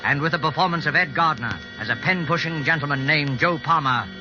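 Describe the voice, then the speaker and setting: A male announcer reads a scripted old-time radio introduction. His voice is slightly high, formal and measured. Music plays clearly behind him on a muffled vintage recording. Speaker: program announcer.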